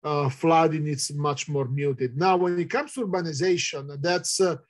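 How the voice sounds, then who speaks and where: A man's voice: fairly high pitch, moderate pace. A middle-aged man with an Italian accent answering audience questions in an online talk, hesitant with many fillers, heard over a video call. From Italy. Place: indoors, virtual background.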